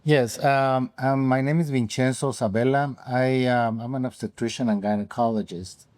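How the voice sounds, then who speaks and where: A man, an OB/GYN guest telling his career story in halting, unhurried speech full of ums. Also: medium pitch, steady tone, darkish tone, dry recording. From Mexico. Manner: halting, with frequent ums and restarts. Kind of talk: conversational interview answers.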